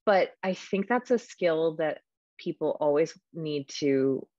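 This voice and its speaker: A woman's voice: sits low, animated, takes it slowly, darkish tone, no room sound, muffled recording. A woman talking casually in an interview about casting audiobook narrators, thinking aloud with fillers.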